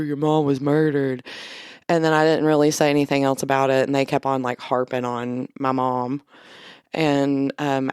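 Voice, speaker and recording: A woman with a deep voice co-hosting a podcast, chatting in a laid-back way and chuckling as she tells stories. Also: a little mellow, smooth, no room sound, studio quality. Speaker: podcast co-host. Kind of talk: casual chat. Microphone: studio podcast microphone.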